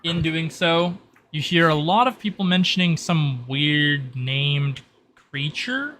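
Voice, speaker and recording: Bright-voiced male game master, keyboard noise. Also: on the high side, lively intonation, takes it slowly. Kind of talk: casual improvised game narration. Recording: noticeable noise with keyboard typing and clicks.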